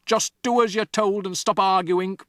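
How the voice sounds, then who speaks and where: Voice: medium pitch, normal speed, a bit bright, no room sound, clean studio sound, voice pushed up, natural voice. A narrator doing a barking, bullying dad. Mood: snapping. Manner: barking, curt orders. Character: bullying, impatient middle-aged father.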